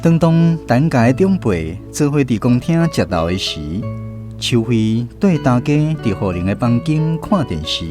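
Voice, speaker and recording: A Taiwanese man tells a story as a radio drama narrator. His mid-range voice moves with very lively intonation over clear guitar music. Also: medium pitch. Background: acoustic guitar music.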